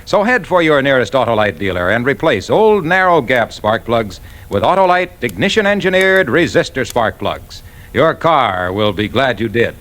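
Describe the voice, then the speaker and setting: A man acting as an eager, polished salesman in an old radio commercial, emphatic and smooth. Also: mid-range voice, sing-song, moderate pace, fairly bright tone. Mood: upbeat selling. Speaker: commercial announcer. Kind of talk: 1950s radio sponsor pitch.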